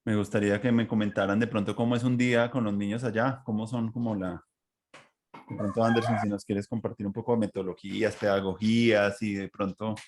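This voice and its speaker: A man's voice: lowish voice, flat delivery, brisk, a little mellow. A man hosting a reflective podcast conversation in long, winding sentences with repeated words. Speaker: podcast host.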